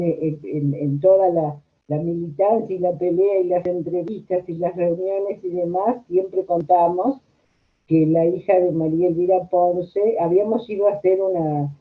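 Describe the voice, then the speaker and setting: Older Argentine woman, tinny phone-like sound. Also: on the low side. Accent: Argentine Rioplatense. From La Plata, Argentina. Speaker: interviewed social worker. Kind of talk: reminiscing, anecdotal conversation. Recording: tinny, narrow phone-like audio.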